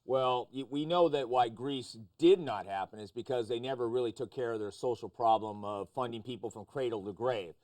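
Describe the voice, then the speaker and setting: A man's voice: medium pitch, moderate pace, hardly any pauses, darkish tone. Probing male talk show host. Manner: probing, interjecting with questions. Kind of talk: interview-style discussion of news.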